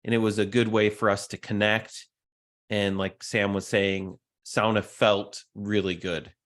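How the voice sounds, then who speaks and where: A man's voice: fairly low pitch, little pitch movement, unhurried, fairly bright tone. A man from California talking in a casual, reflective way as a podcast guest, his sentences dotted with fillers. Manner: easygoing, reflective, with many fillers.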